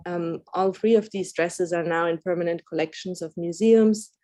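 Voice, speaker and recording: Austrian-born woman in her 40s, talking thru her design work on a panel, kinda low voice, dry close sound, lots of ums. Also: steady tone, normal speed, mellow, no echo. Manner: earnest, with frequent fillers and restarts. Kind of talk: conference panel talk.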